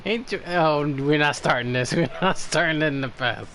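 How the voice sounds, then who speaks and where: A man's voice: a little high, normal speed, fairly bright tone, a little hiss or hum. A man hosting a casual gaming livestream, chatting and reacting as he plays. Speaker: livestreamer, host.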